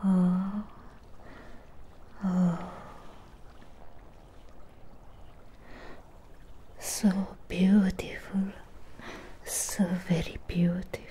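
A woman voicing a lonely, possessive female Frankenstein creature in a close-up ASMR roleplay, whispering in breathy, halting, intimate phrases. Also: fairly low pitch, level tone, mellow. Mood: adoring, tender. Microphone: close microphone. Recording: close-up with clear background noise.

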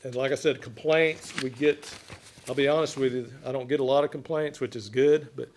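An older man with a loud voice, a health inspector giving an informal, folksy presentation to a committee. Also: mid-range voice, steady tone, brisk, slight background noise. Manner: folksy, plain-spoken. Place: wood-panelled council chamber.

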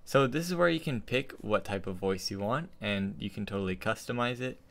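A young man hosting a casual software tutorial, speaking into a desktop condenser microphone. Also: lowish voice, a little fast, gravelly. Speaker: tutorial host. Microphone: desktop studio condenser microphone.

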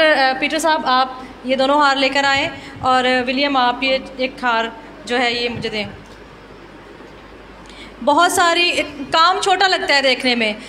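A woman's voice: sits high, pushed and bright. A woman with a Pakistani accent giving an informal speech of thanks to a congregation. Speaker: church sewing project organiser. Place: church gathering. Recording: noisy live event recording.

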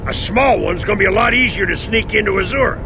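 A man playing a folksy, good-natured soldier-mechanic in a video game, with a gravelly Southern US drawl. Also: sits high, fast talker, background noise. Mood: folksy, appraising. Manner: folksy drawl, fond of machines.

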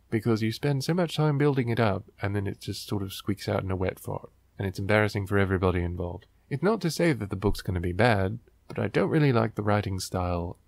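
A man's voice: fairly low pitch, vocal fry, darkish tone, dry recording. A man hosting a book riffing show, reading aloud and commenting in a sarcastic, wry, exasperated way.

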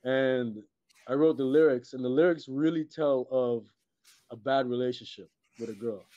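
A middle-aged man from Trinidad and Tobago chatting casually as an interview guest, halting and repeating words, with many "you know"s. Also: mid-range voice, warm tone, no echo. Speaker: guest, filmmaker and musician. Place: indoors on a video call. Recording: muffled, dull video-call audio.

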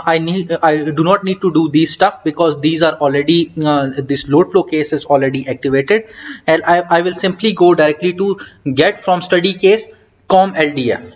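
A man giving a step-by-step software tutorial over a screen recording, talking in an explanatory way with frequent hesitant fillers. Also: on the high side. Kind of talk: screen-recorded coding walkthrough. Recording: dull lo-fi mic.

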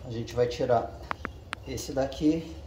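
A man walks his viewers through a hands-on job one step at a time, pausing often to check that they are following. His voice sits at an ordinary pitch. It rings off the bare walls of a garage, over a faint background hum. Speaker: channel host. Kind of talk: casual how-to demonstration. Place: home garage with the door open. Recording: echoey garage.

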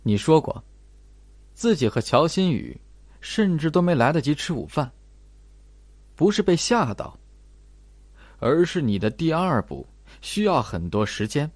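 A man's voice: a little high, sing-song, a little slow, long pauses, darkish tone, no room sound, exaggerated voice. A man voicing a cold, methodical investigator in an audiobook, laying out an accusation. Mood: accusing. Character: cold, probing male investigator laying out evidence. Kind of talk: audiobook character acting.

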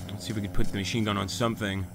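A man's voice: fairly low pitch, little pitch movement, rapid-fire, vocal fry, over music. A man hosting a gaming channel, talking casually over gameplay and answering live chat comments.

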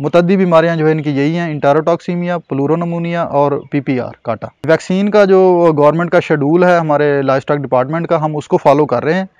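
A man in his thirties with a Pakistani Urdu accent, calmly explaining goat breeding and care at an outdoor goat farm, with frequent fillers. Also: fairly high pitch, smooth, dry recording, lo-fi sound. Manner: measured and informative, with frequent fillers. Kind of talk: explanatory on-camera interview. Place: outdoors at a covered goat shed.

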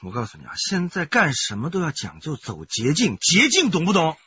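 Greedy pushy husband in a radio drama, really sharp excitable voice, coaxes then threatens, bit of noise on a dullish track. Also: male voice, a little high, a little fast, dull audio, exaggerated voice. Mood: irritated, dismissive. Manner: excitable, repeats words, swings from coaxing to threats. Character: scheming, money-hungry husband, wheedling and bullying. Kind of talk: radio crime drama acting.

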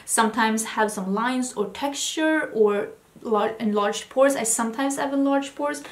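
Chatty Swedish-accented woman, high voice. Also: a little slow, roomy sound. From Sweden. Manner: chatty and enthusiastic, often restarts words. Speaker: beauty vlogger. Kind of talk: casual haul video talk. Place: home room with vanity lights.

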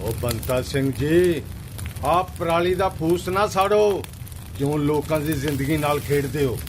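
A man's voice: a little high, a little slow, noisy background, close to an everyday voice. A man playing an earnest, principled Punjabi villager who admonishes others in a radio play. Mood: pleading. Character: earnest, principled male villager pleading against crop burning.

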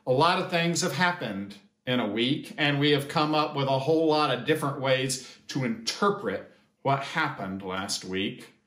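An American pastor in his fifties at a desk in a small echoey room, speaking slowly and deliberately to his congregation through a camera. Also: mid-range voice, a bit bright. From United States. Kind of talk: sermon-like address to camera. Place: small indoor sitting room or office.

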